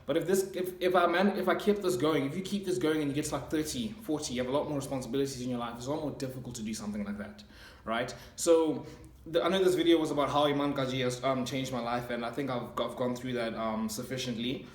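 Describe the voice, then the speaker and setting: A man's voice: mid-range voice, fast, echoey room. A man in his twenties talking earnestly and casually about self-improvement, with many fillers and restarts. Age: 20s. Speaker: self-improvement channel host. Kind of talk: casual motivational monologue.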